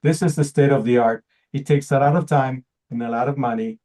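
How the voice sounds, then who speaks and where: A man's voice: mid-range voice, brisk, warm tone. A man whose first language is Hebrew gives an earnest presentation, often repeating words as he looks for the phrasing. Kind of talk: pitch presentation to an audience.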